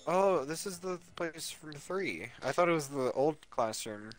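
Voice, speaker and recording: A man's voice: fairly high pitch, lively intonation, unhurried. A young man giving casual, joking commentary while dubbing a video game with friends. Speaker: amateur game dubber and commentator. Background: background game music.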